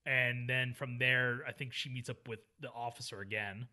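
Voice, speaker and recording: Man, bright tone, mid pitch, casual podcast chat, rambling, self-correcting. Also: steady tone, moderate pace. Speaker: podcast co-host. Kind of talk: casual film discussion.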